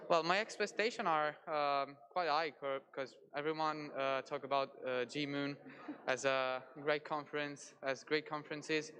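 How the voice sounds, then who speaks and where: Man, bright tense voice, halting delegate answers. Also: a little high, fairly even intonation. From Italy. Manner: hesitant, many fillers. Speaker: conference delegate.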